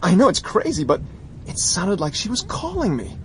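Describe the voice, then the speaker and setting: A man's voice: sits high, huge pitch swings, normal speed, a little hiss or hum. An actor playing a hot-headed, impulsive young man in a radio drama. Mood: agitated. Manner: agitated, impulsive.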